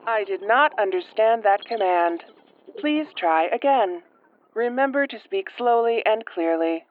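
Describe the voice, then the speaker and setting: Sci-fi roleplay: woman as female shuttle AI, mid-pitched, flat and robotic, tinny filtered sound. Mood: impassive. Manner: slow, flat, polite robotic announcements. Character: impassive, dim-witted female shuttle computer. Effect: computer speaker filter.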